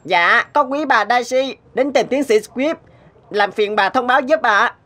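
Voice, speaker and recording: A woman voicing an eager, polite young boy in a cartoon, excitable and full of admiration. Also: fairly high pitch, a little slow. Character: eager, admiring young boy who dreams of being a scientist. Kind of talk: cartoon voice acting. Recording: music behind the voice.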